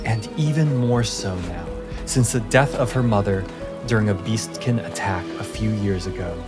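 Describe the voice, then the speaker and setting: A man narrating a fantasy tabletop game as game master, with deliberate, descriptive storytelling. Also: fairly low pitch. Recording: music under the voice. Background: music.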